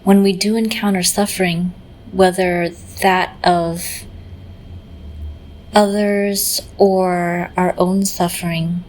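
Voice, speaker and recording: A woman leading a guided meditation in a gentle, unhurried manner, often pausing in the middle of phrases. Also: fairly low pitch, level tone, poor audio. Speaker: meditation teacher.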